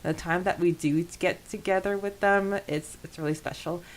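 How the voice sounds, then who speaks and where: A woman co-hosting a podcast in casual discussion, enthusiastic and quick to giggle as she recaps a story. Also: lowish voice, fairly even intonation, low-quality recording. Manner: enthusiastic, giggly, frequent laughing. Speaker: podcast co-host. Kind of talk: casual discussion recapping a fan fiction chapter.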